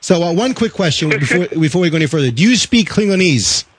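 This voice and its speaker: A man's voice: fairly high pitch, animated, slight background noise. A man around forty co-hosting a podcast, firing off teasing questions and stumbling over repeated words. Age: 40s. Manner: fast, stammering repeats, teasing. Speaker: podcast co-host. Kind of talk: casual podcast banter.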